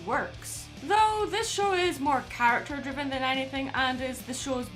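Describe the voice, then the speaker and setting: A woman delivering scripted opinion commentary as a video essayist, in a measured way with conversational asides. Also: high-pitched, a bit bright. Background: background music.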